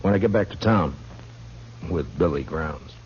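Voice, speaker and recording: An actor playing a grim, laconic frontier marshal in a 1950s radio western, speaking in a dry, deliberate way on an old recording. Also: male voice, very deep voice, lively intonation, darkish tone, highs cut off, slight background noise. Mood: grim, resolute.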